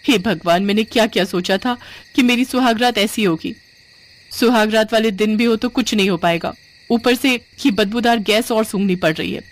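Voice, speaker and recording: A woman's voice: medium pitch, normal speed, pitched above the performer's own voice, natural voice. A woman voicing an irritable, complaining young newlywed in a cartoon family story, exasperated and argumentative. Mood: disgusted, grumbling. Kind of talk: animated Hindi family story dialogue.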